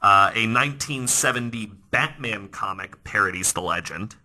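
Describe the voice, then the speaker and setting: A man hosting a podcast, telling stories in a casual, animated, conversational way that often restarts mid-thought. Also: fairly low pitch, normal speed. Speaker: podcast host. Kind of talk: casual storytelling podcast talk.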